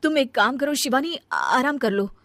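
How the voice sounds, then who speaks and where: Woman acting a high-pitched, dutiful elder daughter-in-law. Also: very animated, moderate pace, a bit bright, no echo, exaggerated voice. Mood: earnest. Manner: earnest, emphatic, scolding when annoyed. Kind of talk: acted Hindi family comedy story.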